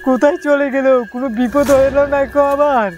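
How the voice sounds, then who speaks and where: A man's voice: very high voice, fairly even intonation, brisk. A man playing a poor, protective woodcutter father in an amateur horror drama, plain-spoken and repeating himself. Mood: worried, searching.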